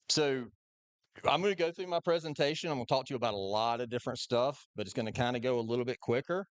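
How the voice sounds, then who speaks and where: A man gives an informal talk to an audience in a loud, chatty voice, joking as he goes. His tone is smooth and clear, and the sound is dry with little room echo. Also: mid-range voice. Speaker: sheriff's detective presenting on scams. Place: community meeting hall.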